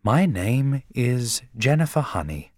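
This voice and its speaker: A man's voice: medium pitch, sing-song, slow, dry recording, studio quality, pitched above the performer's own voice. A man voicing a polite, resolute young woman schoolteacher in an audiobook, earnest and firm. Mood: composed. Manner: earnest, well-mannered, firm.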